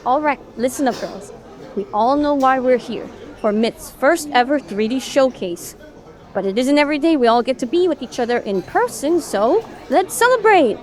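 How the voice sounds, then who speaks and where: A woman playing an upbeat, bossy young woman who leads her friends in an audio drama, with a brisk, teasing manner. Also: sits high, expressive, darkish tone. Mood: rallying, cheerful. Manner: brisk, teasing, take-charge. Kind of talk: animatic audio drama acting.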